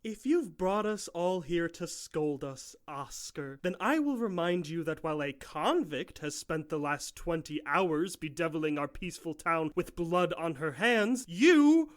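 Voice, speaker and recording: A man's voice: a little high, animated, a little slow, few pauses. A man playing a haughty, impatient, domineering professor in an audio drama, with a clipped, scolding manner. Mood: scornful. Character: haughty, impatient professor who runs a lab, cutting and domineering.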